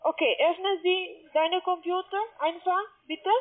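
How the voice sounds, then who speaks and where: A woman with a foreign accent in German, a non-native speaker, making a halting, repetitive sales call. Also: very high voice, little pitch movement. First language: not German. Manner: halting, repetitive, broken grammar. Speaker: call center telemarketer. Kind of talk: scripted phone sales pitch. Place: call center, on the phone. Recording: muffled, tinny phone line.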